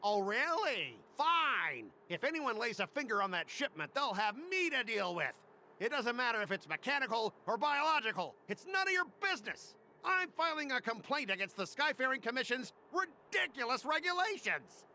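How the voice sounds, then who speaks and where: Shrill, blustering cargo worker. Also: male voice, big pitch swings, normal speed, bright, forceful tone, a little hiss or hum, heavily acted. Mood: furious. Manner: indignant, blustering, emphatic. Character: irate, stubborn male cargo-company worker, loud complainer. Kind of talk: video game voice acting.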